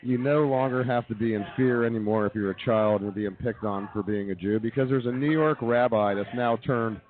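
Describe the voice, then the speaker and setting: A man co-hosting a comedy radio show, delivering news bits and banter in a wry, halting way. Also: mid-range voice, steady tone. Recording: dull muffled lo-fi audio.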